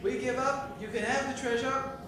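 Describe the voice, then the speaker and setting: A man's voice: extremely high pitch, steady tone, moderate pace, sharp tone. A man playing a casual, slangy rent-a-cop in a comedic audio drama, rambling along without a care. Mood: casual, resigned. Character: slacker male rent-a-cop guard, casual and unbothered. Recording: very echoey, distant, noisy.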